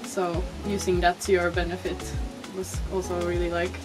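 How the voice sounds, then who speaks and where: A woman from Finland, a workshop participant, speaking softly in a reflective group conversation. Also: on the low side, little pitch movement, normal speed, music in the background.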